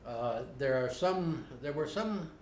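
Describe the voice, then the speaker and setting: Older man, interviewee; plainspoken and unhurried, recorded on a roomy camera mic. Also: on the high side, expressive, slight background noise. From United States. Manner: unhurried, plainspoken, frequent uh pauses. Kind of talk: unscripted recollection. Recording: roomy home video recording.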